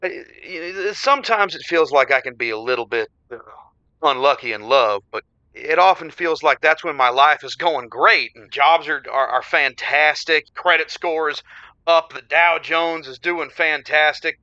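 Comic radio drama caller: lovelorn man, nervous, hesitant, bright-toned, dry slightly muffled sound. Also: a little high, unhurried, dry recording. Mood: troubled, earnest. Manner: hesitant, stammering, earnest. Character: nervous, gushing, lovelorn unlucky man calling radio show. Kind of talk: comic audio drama acting.

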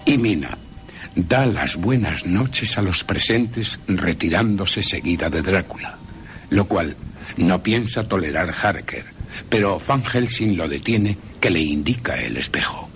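A man with an accent from Spain narrating a horror story for radio in a theatrical, suspenseful way with long pauses. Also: low voice, expressive, a bit bright, a little hiss or hum. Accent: Spanish from Spain. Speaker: radio drama narrator and presenter. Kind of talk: dramatic scripted horror narration.

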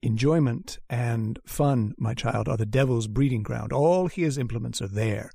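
An actor playing a cold, puritanical male lodger who sermonizes with quiet menace in a radio drama. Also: mid-range voice, unhurried, gravelly, slightly rough, dry recording. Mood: preaching.

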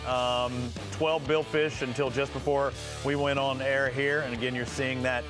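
A man's voice: mid-range voice, level tone, unhurried, over music. Middle-aged male host reading catch updates. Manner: chatty, reads off catch alerts. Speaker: fishing show host. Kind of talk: casual studio commentary. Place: TV studio set.

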